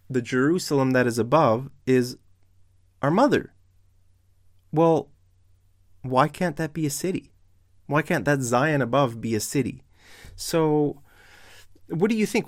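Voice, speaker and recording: A man talks slowly and deliberately in a casual video commentary, his voice hoarse and fraying as it gives out. He keeps pressing his viewers with pointed rhetorical questions, at an ordinary mid pitch. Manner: questioning, challenging the audience; slow and deliberate. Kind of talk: casual online Bible commentary.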